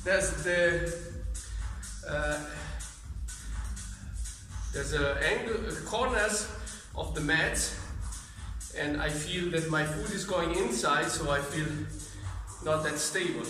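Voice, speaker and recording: A man's voice: on the high side, slow, long pauses, bright, forceful tone. A man in his thirties, a non-native English speaker, leading a workout as he demonstrates it, with short cued commands and physical effort in his voice. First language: not English. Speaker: fitness trainer. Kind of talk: workout instruction while demonstrating. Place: large indoor gym with mats. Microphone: camera several metres away. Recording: echoey gym, distant mic, music behind.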